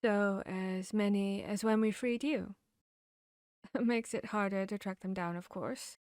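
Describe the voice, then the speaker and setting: A woman playing a confiding, bookish vampire hunter in a fantasy ASMR roleplay, talking intimately with pauses. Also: mid-range voice, darkish tone, clean tone, no echo, clean studio sound, natural voice. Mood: focused, reassuring. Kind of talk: ASMR roleplay audio drama.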